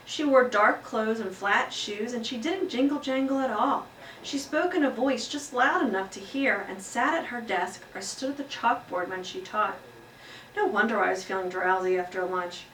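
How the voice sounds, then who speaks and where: A woman voicing a small, earnest pet hamster who tells his classroom story with expressive, emphatic delivery. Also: a little high, moderate pace, close to an everyday voice. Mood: earnest, content. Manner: expressive, exclaiming, emphatic read-aloud delivery. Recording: roomy echo, distant mic, faint noise.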